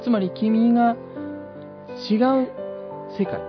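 A man playing an earnest bartender in an improvised comedy skit, giving solemn, halting, preachy life advice. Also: very high voice, fairly even intonation, pitched above the performer's own voice. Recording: dull, lo-fi podcast audio. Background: prominent background music.

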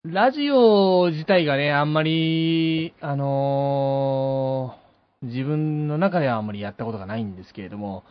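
A man appearing as a guest on a casual radio talk show, speaking politely and haltingly with playful bursts. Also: on the high side. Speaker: guest voice actor. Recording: muffled lo-fi audio with music behind. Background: background music.

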